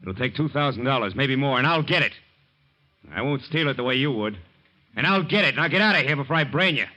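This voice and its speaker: A man's voice: mid-range voice, expressive, fast, pushed and bright. An actor playing a bitter, drinking father in a 1940s radio drama, curt and hostile. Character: bitter, drunk, proud father. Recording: muffled 1940s radio recording.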